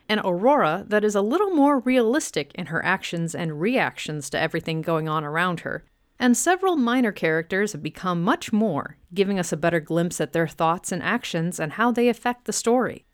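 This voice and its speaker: A woman's voice: on the low side, animated, normal speed, no room sound, studio quality. A woman hosting a radio show, giving a scripted introduction.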